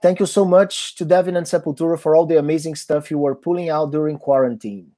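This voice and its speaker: Mellow-voiced man reading viewer questions. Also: fairly high pitch, steady tone. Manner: reads viewer messages, quick agreeing interjections. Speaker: band guitarist and co-host. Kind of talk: live Q&A chat. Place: home room, indoors.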